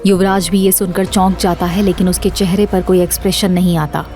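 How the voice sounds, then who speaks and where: Lowish female story narrator over soft music. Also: little pitch movement, brisk. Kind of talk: scripted dramatic story narration.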